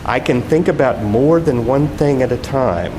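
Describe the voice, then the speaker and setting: A man voicing a pompous, cynical woman politician in deliberate speech, reading from a novel. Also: mid-range voice, close to an everyday voice. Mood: smug. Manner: slow, deliberate. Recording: echoey hall with loud background noise.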